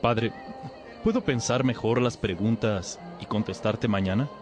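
A man's voice: on the low side, unhurried, natural voice. Man playing young male novice, deferential, earnest, obedient, radio drama, music playing behind. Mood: earnest, respectful. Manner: respectful, deferential. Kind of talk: religious radio drama acting.

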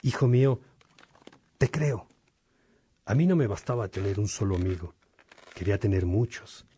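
A man playing an earnest, fatherly monk and mentor in a radio drama, speaking in a measured, formal way. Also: lowish voice, lively intonation, warm tone, slight background noise, natural voice. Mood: kindly, reflective.

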